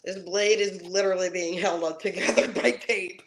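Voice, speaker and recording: Giggly woman with a deep gravelly voice, co-hosting a stream, joking around. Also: steady tone, moderate pace. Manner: giggly, playful. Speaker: livestream co-host. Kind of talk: casual livestream chat.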